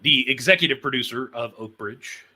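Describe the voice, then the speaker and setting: A man hosting an interview show, introducing it and his guest in deliberate, announcer-like phrases with pauses. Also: medium pitch, pushed and bright, slight background noise, rough recording. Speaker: host and executive producer.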